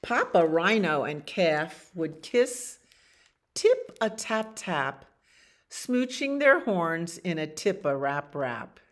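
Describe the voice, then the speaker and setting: Slow, low-voiced woman reading bedtime stories, very expressive. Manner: expressive reading with acted animal sounds and pauses to comment on pictures. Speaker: children's storytime reader at a library. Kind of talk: read-aloud bedtime stories with casual asides.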